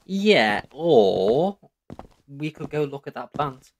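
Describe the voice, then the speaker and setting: A man's voice: medium pitch, very animated, slow pace, slight background noise. A man playing a sulky, flippant young traveller who hides hurt behind jokes in an audio drama. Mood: coaxing. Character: sulky, joking young male traveller who feels inadequate.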